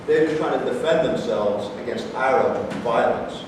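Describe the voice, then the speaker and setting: A man's voice: medium pitch, normal speed, lightly acted. Guy acting a dismissive sarcastic arguer on stage, noisy recording, way off the mic. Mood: combative. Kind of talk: staged reading of scripted dialogue. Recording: echoey hall, distant mic, noisy.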